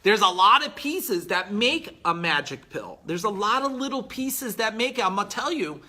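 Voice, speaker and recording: A man in his forties giving a blunt, emphatic motivational talk as a business coach on a live stream, clearing his throat now and then. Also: high-pitched, animated, moderate pace, bright, forceful tone. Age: 40s.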